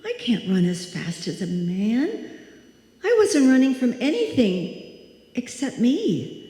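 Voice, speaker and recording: High-voiced frightened woman in an echoey hall, acted. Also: sing-song, slow pace, warm tone. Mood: relieved, reassuring herself. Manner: breathless pleading and self-soothing, theatrical. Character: frightened woman alone at night, panicked, talking to herself. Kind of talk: live old-time radio suspense acting. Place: live stage performance in a hall. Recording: echoey live hall, music behind.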